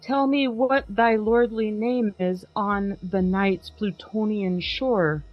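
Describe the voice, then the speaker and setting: A woman podcast host giving a dramatic poetry reading, trading lines with a co-reader in a measured way. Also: medium pitch, steady tone, no echo. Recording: slightly muffled with faint music.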